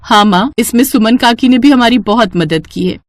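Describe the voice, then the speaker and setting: A woman's voice: a little high, moderate pace, close to an everyday voice. A woman voicing an earnest, grateful young village milk seller in a cartoon story, speaking respectfully.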